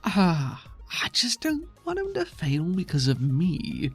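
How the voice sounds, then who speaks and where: An anxious, devoted woman from a tabletop game, played by a man. She speaks earnestly in a raised voice with some creak, over music. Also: sits high, wildly swooping pitch, takes it slowly, voice pushed up, exaggerated voice. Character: Pavi, apprehensive but supportive woman friend.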